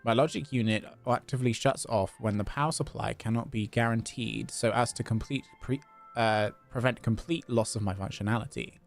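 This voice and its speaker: A man voicing a polite, formal, flattering clockwork robot while reading game dialogue aloud. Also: mid-range voice, fairly quick, vocal fry, faint music behind, close to an everyday voice. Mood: precise, deferential. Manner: stiff, formal, deferential.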